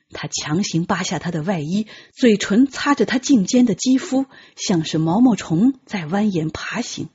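A woman's voice: medium pitch, a little mellow, slightly rough, muffled recording. A woman narrating an audiobook as dramatic, scripted storytelling.

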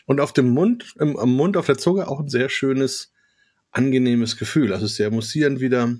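Male podcast host, relaxed and descriptive, savors each word. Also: mid-range voice, normal speed. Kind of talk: beer tasting interview.

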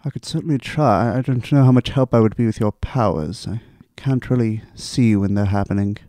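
A man plays a solemn, reserved demigod in a tabletop fantasy game. He speaks in short, measured phrases with weighty pauses, in a mellow, soft-edged voice recorded dry. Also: lowish voice, no room sound, natural voice. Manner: measured, terse, deliberate pauses. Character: grave, reserved, fate-minded male demigod adventurer.

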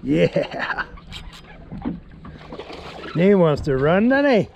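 A high-voiced, unhurried man reels in fish and cheers each catch from a small open boat at sea. He speaks loosely and excitedly into a head-mounted action camera. Loud wind and boat noise wash over the whole recording. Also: vocal fry, muffled recording. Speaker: angler vlogger. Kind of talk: excited casual fishing commentary.

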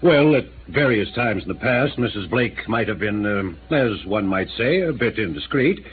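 A man's voice: lowish voice, expressive, normal speed, breathy edge. An actor playing a formal, pompous male mayor in a 1950s radio drama, speaking in stiff, roundabout phrases. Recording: tinny old radio broadcast with hiss.

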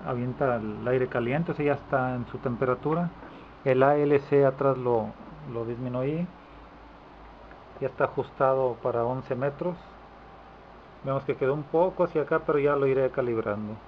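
Man demonstrating gear, dull noisy audio. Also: mid-range voice. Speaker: repair technician demonstrating a radio amplifier. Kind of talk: informal hands-on video demonstration. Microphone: handheld camera microphone. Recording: dull lo-fi camera audio, clear background noise.

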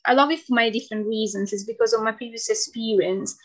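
A woman's voice: fairly high pitch, fairly even intonation, fast. Give me an Italian-born woman chatting on a video call. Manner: hesitant, many ums, warm laughs. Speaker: guest, mature medical student. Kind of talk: casual video-call interview. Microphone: video call webcam.

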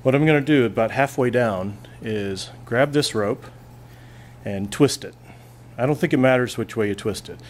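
A man's voice: mid-range voice, moderate pace, slight background noise. A male physics teacher at a classroom lab bench, plainly talking through a hands-on demonstration and thinking aloud as he goes.

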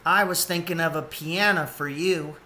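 A man's voice: fairly high pitch, normal speed, sharp tone, pitched above the performer's own voice. A man voicing a good-natured young otter son in a storybook, in a simple, sincere read-aloud delivery. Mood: earnest, resigned.

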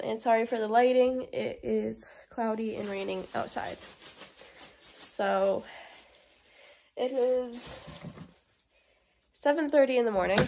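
A woman's voice: fairly high pitch, vocal fry, darkish tone, slight background noise. A young woman vlogging at home with her phone at arm's length, chatty and upbeat while getting over a cold. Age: young adult. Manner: chatty, upbeat morning updates. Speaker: family vlogger. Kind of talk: casual vlog to camera. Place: home living room, indoors. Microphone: phone held at arm's length.

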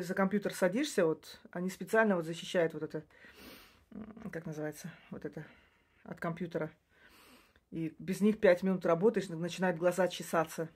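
A woman's voice: on the low side, darkish tone, slightly rough. A woman in her forties, a native Russian speaker, talking casually to her phone camera in a small indoor room. She rambles, restarts sentences and laughs briefly between points. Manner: chatty, rambling, frequent short laughs and restarts. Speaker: social media creator. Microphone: phone camera close up.

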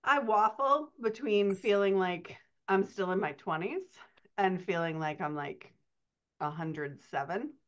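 A woman's voice: mid-range voice, expressive, measured pace. Woman, forties, podcast co-host, casual teasing banter, slightly dull video-call audio. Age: 40s. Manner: teasing, playful. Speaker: podcast co-host, writing coach.

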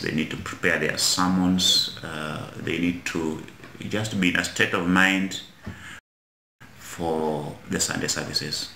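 A middle-aged Kenyan man, an Anglican bishop, answering interview questions in a measured way in a small office, often repeating words as he searches for them. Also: rumbling low, pushed and bright, a little hiss or hum. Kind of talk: interview answers mixing Swahili and English. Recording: echoey office room.

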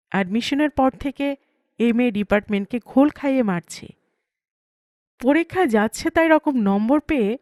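A woman's voice: sits high, a little mellow, dry recording, clean studio sound. A woman reading a first-person story aloud as an audiobook narrator.

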